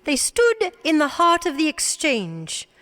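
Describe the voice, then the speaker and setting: A woman's voice: high-pitched, wildly swooping pitch, slow, fairly bright tone, heavily acted. A woman narrating a radio drama with scripted, dramatic storytelling. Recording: reverberant hall sound.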